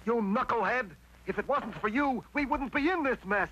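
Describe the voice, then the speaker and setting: A wicked cartoon uncle with a high, forceful voice. He is grumpy and scheming, with the brash wheedling patter of a hipster salesman from a 1960s cartoon. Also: moderate pace, lo-fi sound, a little hiss or hum. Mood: irritated.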